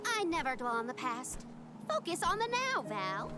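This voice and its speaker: An actress voicing a sulky, sarcastic young companion character in a video game. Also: female voice, high voice, huge pitch swings, normal speed. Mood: airy, dismissive. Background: game music.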